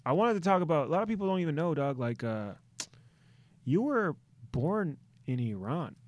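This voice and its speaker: A male comedian hosting a podcast, an animated, slangy storyteller who slips into quick voices. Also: a little high, a little hiss or hum. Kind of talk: casual chat.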